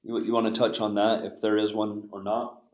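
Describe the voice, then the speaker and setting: A man hosting a webinar, asking questions in a hesitant way with frequent pauses and restarts. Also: lowish voice, level tone, a little mellow, echoey room, highs cut off. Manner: hesitant, many fillers and restarts. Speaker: webinar host. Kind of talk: webinar moderation.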